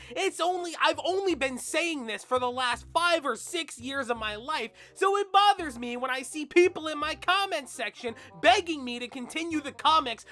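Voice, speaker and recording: A woman hosting a fan Q&A in an expressive, emphatic and exasperated manner. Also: high voice. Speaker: comic dub voice actor and host. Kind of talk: animated fan Q&A narration.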